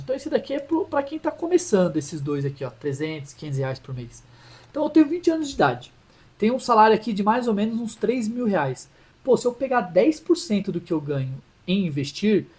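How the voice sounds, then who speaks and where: A man's voice: sits high, a little mellow. Chatty São finance host, man. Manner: chatty, with frequent "tá?" check-ins and self-restarts. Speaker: personal finance channel host. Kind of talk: casual explainer over a shared screen.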